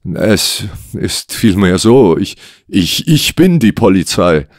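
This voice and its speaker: A man voicing a sick, desperate police inspector who believes he is cursed, speaking in an urgent, halting manner for an audio story. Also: sits low, animated, no echo, studio quality, pitched above the performer's own voice, full character voice. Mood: flustered.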